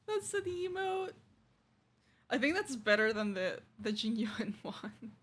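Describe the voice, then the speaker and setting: A woman's voice: a little high, slight background noise. A woman livestreaming a game, giving casual, chatty commentary in an offhand manner. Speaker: game streamer.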